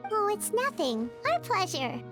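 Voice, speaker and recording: A woman's voice: extremely high pitch, very animated, measured pace, over music, full character voice. A woman voicing a small, loyal, childlike fairy girl in a video game, indignant yet cheery, speaking of herself in the third person. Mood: pleased. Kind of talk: video game character dialogue.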